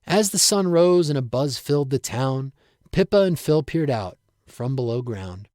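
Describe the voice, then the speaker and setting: A man's voice: mid-range voice, expressive, a little slow, warm tone, dry recording, studio quality. A man reading his own rhyming story aloud in a storybook narration style. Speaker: writer reading own piece.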